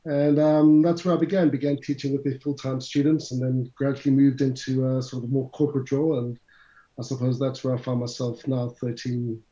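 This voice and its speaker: A middle-aged man with a South African accent talks through his career in a chatty, lecturer's way, sometimes speaking to listeners directly. His voice is dark and mellow. It sits in a roomy space and sounds a little dull. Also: medium pitch, little pitch movement, fairly quick. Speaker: CFA instructor, guest. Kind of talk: conversational interview answers.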